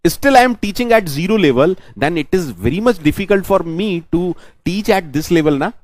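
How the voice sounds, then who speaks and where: A man's voice: sits high, animated, a little fast, darkish tone, no echo. A man with an Indian English accent, a native Hindi speaker, giving an online programming lecture, repeating his phrases and answering questions on the students' behalf. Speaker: programming teacher.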